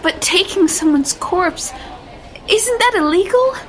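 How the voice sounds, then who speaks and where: A woman's voice: very high voice, expressive, slow pace, fairly bright tone, a little hiss or hum, heavily acted, poor audio. An actress playing an earnest, anxious young woman in a period audio drama, hesitant and wistful with proper, old-fashioned phrasing. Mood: alarmed. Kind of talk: period audio drama acting with first-person narration.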